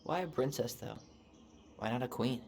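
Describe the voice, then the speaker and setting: A man playing an earnest, gently teasing young adult in a video game, with a casual, dry delivery. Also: mid-range voice, moderate pace, gravelly, lightly acted. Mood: skeptical, playful. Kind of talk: game cutscene voice acting. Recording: faint background noise.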